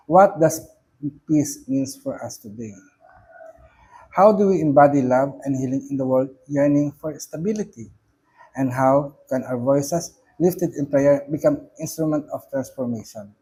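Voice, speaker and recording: A man with a Filipino accent, speaking English as a second language, giving a measured, solemn reflection on a prayer with occasional fillers. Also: fairly high pitch, dark tone, breathy edge, poor audio. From Philippines. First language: not English. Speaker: reverend giving commentary.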